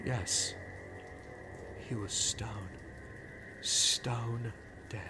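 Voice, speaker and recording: A man giving a dramatic reading of a gothic tale, theatrical, swinging between hushed whispers and frenzied shouts. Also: mid-range voice, fairly even intonation, extremely slow. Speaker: reader. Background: clear music.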